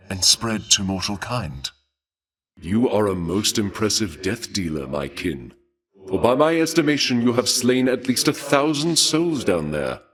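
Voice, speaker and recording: An actor playing a courtly god of war in a video game, speaking formally and with measured, suave menace and a taste for bloodshed. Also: male voice, fairly low pitch, fairly bright tone. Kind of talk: theatrical video game character dialogue.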